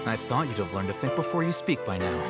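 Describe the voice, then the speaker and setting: An actor playing a calm, aloof young scholar in a video game, composed, dry and superior. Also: male voice, lowish voice, quick pace. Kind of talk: video game character acting. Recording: loud music over dialogue.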